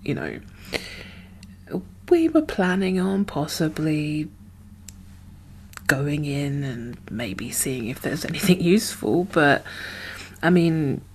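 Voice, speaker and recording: Woman, low pitch, slow halting pace, soft and half-whispered, gentle ASMR roleplay, guarded caring apocalypse survivor. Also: sing-song, slight background noise. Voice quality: soft, breathy, often whispered. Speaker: ASMR performer playing a role.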